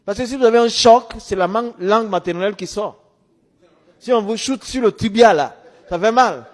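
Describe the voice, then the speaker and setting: The long reverb of a hall surrounds a man with a high-pitched voice. He is a non-native French speaker, middle-aged, delivering a religious testimony like a storyteller. His phrases come in short, emphatic bursts that he often repeats. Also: moderate pace. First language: a language other than French, Arabic or English.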